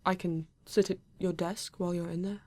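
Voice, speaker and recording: A woman voicing a polite, formal male android detective in an ASMR roleplay, with a British accent and stiff, measured phrasing. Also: fairly low pitch, level tone, darkish tone, lightly acted.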